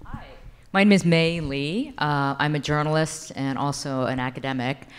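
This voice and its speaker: Deep-ish woman asking a polite personal question from the crowd, hall echo. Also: normal speed, smooth. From United States. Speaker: audience questioner, journalist.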